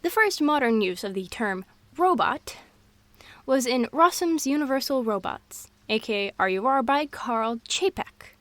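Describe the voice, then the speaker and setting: Woman, fairly high voice, scatterbrained and excitable, intimate roleplay rambling, dry recording. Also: measured pace, no room sound. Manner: scatterbrained, excitable, jumps between topics. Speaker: audio roleplay performer. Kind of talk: intimate girlfriend roleplay, rambling fun facts.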